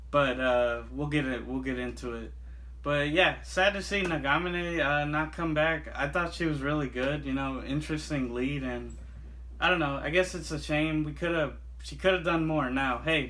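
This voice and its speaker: A man's voice: fairly high pitch, fairly bright tone. A man reviewing a monster movie in a casual, rambling way, full of fillers and restarts. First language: not Japanese. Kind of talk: casual chat.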